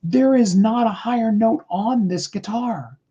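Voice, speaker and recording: A middle-aged man with a high tenor voice, giving a rambling, earnest motivational talk on a live call, full of fillers. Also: measured pace, mellow, slightly rough, lo-fi sound.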